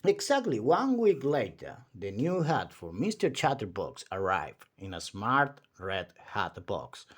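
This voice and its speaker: Animated male storyteller. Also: fairly low pitch, a little slow, few pauses. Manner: animated, theatrical. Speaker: storyteller reading aloud. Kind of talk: expressive children's storybook read-aloud.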